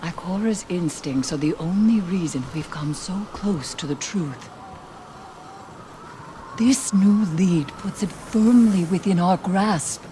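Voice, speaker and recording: An actress playing a proud, composed mentor woman who speaks gravely. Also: on the low side, expressive, slow, dark tone, slight background noise. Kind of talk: video game dialogue.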